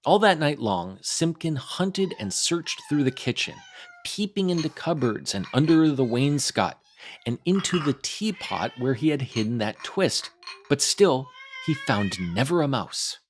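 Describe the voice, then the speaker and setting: A man's voice: medium pitch, big pitch swings, unhurried, slight background noise. A man reading a classic children's tale aloud as a scripted storytime narration. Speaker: storytime host and reader.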